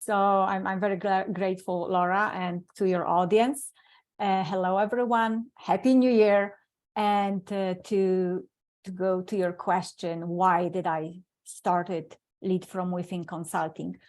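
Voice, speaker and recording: A woman's voice: on the low side, level tone, unhurried, darkish tone. A middle-aged woman from Poland with a Polish accent, telling her life story earnestly as an interview guest, often restarting her sentences. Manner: earnest, with frequent restarts and non-native grammar. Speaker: guest speaker.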